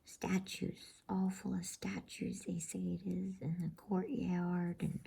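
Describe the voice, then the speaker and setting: A woman voicing a grave, homely male talking beaver in a slow, folksy bedtime story reading. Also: on the low side, flat delivery, creaky, warm tone, breathy edge, heavily acted. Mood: gloomy, grave.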